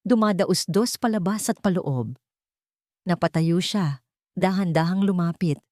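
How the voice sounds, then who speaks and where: A woman's voice: on the low side, measured pace, darkish tone, no room sound, studio quality. A woman with a Filipino accent reading a scripted dramatic story as its narrator.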